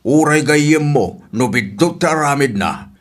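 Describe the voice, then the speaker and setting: A man's voice: a little high, animated, measured pace. A man with an Ilocano Filipino accent playing a stern father scolding his wayward daughter in a radio drama. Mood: scolding, ashamed.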